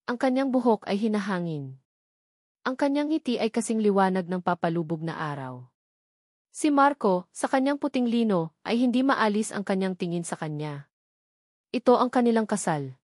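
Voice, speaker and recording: A woman with a Filipino accent narrating a scripted dramatic story in a sentimental, measured way. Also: medium pitch, animated, darkish tone, dry recording, clean studio sound.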